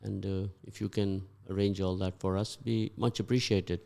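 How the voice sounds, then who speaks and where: Low-voiced male radio host, rambling. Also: flat delivery, vocal fry, mellow. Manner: rambling, halting with many fillers. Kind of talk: casual radio chat.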